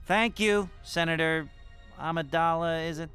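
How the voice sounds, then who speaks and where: An actor playing a brash, smug alien police lieutenant in a cartoon, clipped and condescending. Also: male voice, a little high, steady tone, unhurried, fairly bright tone, clear, resonant tone, faint music behind. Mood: smug, brusque.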